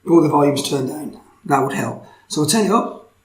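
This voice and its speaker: Male tutorial presenter, informal and explanatory, slightly high pitch, echoey room recording. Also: animated, normal speed, a crowd in the background. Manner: casual, explanatory. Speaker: presenter of electronics tutorial videos. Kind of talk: informal tutorial narration of code and demo. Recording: roomy, echoey microphone sound.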